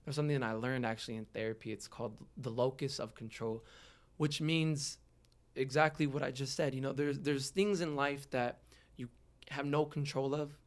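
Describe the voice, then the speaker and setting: A man's voice: mid-range voice, steady tone, normal speed. Young man, early twenties, earnest and reflective interview guest, conversational delivery. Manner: earnest, reflective, frequent "you know". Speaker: guest, rapper. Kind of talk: casual podcast interview, personal reflection.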